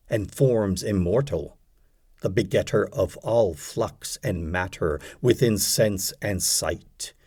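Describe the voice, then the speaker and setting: Slow, expressive male poetry reader. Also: fairly low pitch, breathy edge. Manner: measured, deliberate phrasing with pauses. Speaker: audiobook reader. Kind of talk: dramatic poetry recitation.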